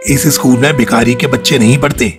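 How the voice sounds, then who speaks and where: Guy doing a snooty scornful principal, cartoon style, mid pitch, faint music under it. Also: fairly even intonation, fast, lightly acted. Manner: haughty, dismissive. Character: haughty, scornful male school principal in a bird world. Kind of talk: Hindi children's cartoon voice acting.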